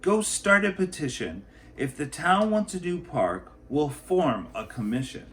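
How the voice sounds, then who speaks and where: A man reading a picture book, voicing a commanding town mayor with a loud, decisive manner. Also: on the high side, very animated, measured pace, voice pushed up. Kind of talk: picture book read-aloud.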